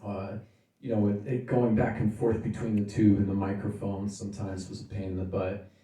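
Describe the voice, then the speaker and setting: A man with a fairly low, somewhat mellow voice talks through a product demo. His delivery is informal and explanatory, like a gear reviewer chatting to viewers. The sound is noticeably roomy. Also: level tone, moderate pace. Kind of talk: casual product demo talk.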